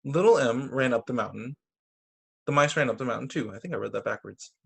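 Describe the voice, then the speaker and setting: A man reading picture books aloud to children as a teacher, expressive, with brief casual asides. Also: medium pitch, a little fast, creaky, husky. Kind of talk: animated children's story reading. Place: remote video story time.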